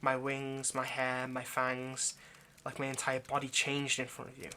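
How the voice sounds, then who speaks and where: A man playing an anxious, lovesick centuries-old vampire kidnapper in an intimate roleplay monologue, speaking in a halting, coaxing, rambling way straight to the listener. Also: mid-range voice, monotone, bright, forceful tone, slight background noise. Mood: defensive, pleading.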